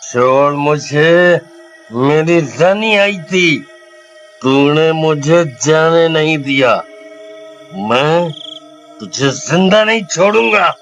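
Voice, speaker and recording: Man acting a gruff, bossy village husband. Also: fairly high pitch, animated, drawn-out words, fairly bright tone, lo-fi sound, faint music behind, exaggerated voice. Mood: drunk, angry. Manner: gruff, dismissive, colloquial. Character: crude, bossy, lecherous drunken village husband.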